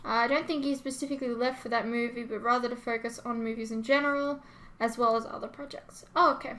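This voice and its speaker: A woman's voice: on the high side, steady tone, fast talker, fairly bright tone. An Australian woman chatting casually to her viewers as a reaction host, informal, rambling and self-deprecating as she reads and answers comments.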